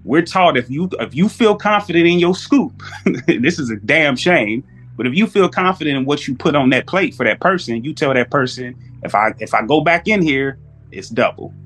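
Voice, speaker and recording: A man's voice: a little high, lively intonation, moderate pace, fairly bright tone, husky. A man chatting casually as a podcast guest, telling anecdotes in slangy speech and acting out both sides of exchanges.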